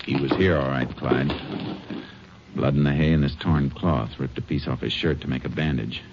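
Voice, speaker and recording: An actor playing a steady, determined Texas Ranger in a 1950s radio drama, terse and matter-of-fact, with an American accent. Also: male voice, rumbling low, moderate pace, very noisy. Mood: grim, focused. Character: steady, determined male Texas Ranger, narrating his case. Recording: muffled old radio recording, loud music.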